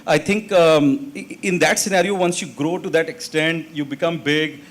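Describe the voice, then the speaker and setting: A man with a somewhat high voice speaks on a panel, pausing often and leaning heavily on fillers as he finds his words. His delivery is halting and searching. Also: fairly even intonation. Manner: hesitant, filler-heavy. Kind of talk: panel discussion.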